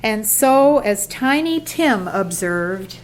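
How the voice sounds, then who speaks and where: A woman's voice: medium pitch, expressive, slow, rough recording. A woman narrating a classic story as scripted storybook narration for a radio play.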